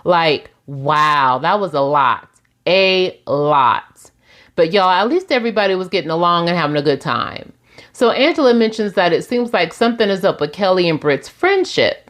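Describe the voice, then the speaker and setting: A woman's voice: fairly low pitch, lively intonation, moderate pace, a bit bright. A woman, recapping reality TV in a sassy, chatty way and laughing often. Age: 40s. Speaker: recap host.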